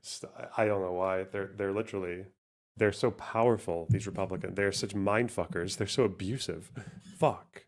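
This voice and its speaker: A man's voice: low-pitched, fairly even intonation, normal speed. A man hosting a livestream, reacting to clips in casual, profane, exasperated talk and laughing easily. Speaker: streamer host.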